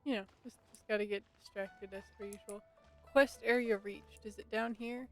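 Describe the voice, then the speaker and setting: A woman's voice: medium pitch, steady tone, normal speed, faint music behind. A woman giving casual, wry commentary as she streams a game. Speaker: live streamer.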